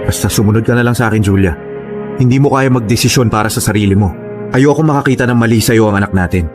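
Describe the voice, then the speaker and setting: A man's voice: fairly low pitch, quick pace, close to an everyday voice. Man acting an intense, controlling boyfriend, music underneath. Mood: stern, controlling. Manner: domineering, intense. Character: jealous, controlling young father and boyfriend. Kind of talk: Tagalog radio drama acting.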